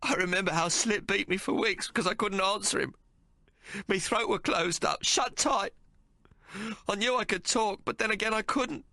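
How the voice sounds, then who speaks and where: A man's voice: sits high, normal speed, vocal fry, bright, forceful tone, dry recording, pitched above the performer's own voice, full character voice. A man voicing a weeping young man, raw and shaken, pleading through sobs. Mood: haunted. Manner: sobbing, broken and pleading.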